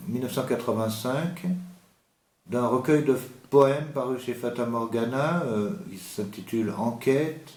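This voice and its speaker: A man giving an unscripted literary talk about an author as the host, slow and halting, with many hesitations and restarts. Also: medium pitch, darkish tone, reverberant.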